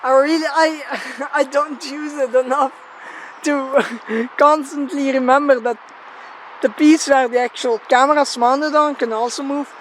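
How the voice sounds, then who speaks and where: High-voiced woman vlogging outdoors. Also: steady tone, measured pace. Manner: informal, sweary, self-deprecating. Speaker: gear tester presenting a megaphone test video. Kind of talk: casual rambling vlog chat. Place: outdoors in an open area near a road. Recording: noisy outdoor location sound.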